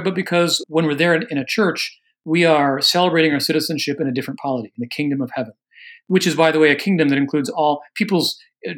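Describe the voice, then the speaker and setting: Podcast guest, man from Oregon, earnest, careful explainer, somewhat high voice. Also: fairly bright tone. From Oregon, USA. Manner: measured, earnest, carefully qualifying. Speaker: guest author on religion and politics. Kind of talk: podcast interview answers.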